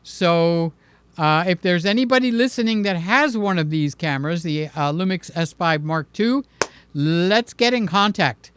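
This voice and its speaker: A man's voice: high-pitched, a little slow, a bit bright, clean tone. A man hosting a casual live stream, answering viewers and stressing his points with a repeated "okay?". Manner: emphatic, ends points with "okay?". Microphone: mic placed in front of him.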